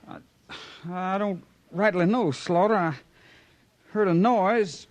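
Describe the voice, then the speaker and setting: High-voiced, groggy young cowhand. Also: male voice. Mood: dazed, rueful. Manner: halting, groggy. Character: dazed, apologetic young cowhand. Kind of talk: 1950s radio western acting.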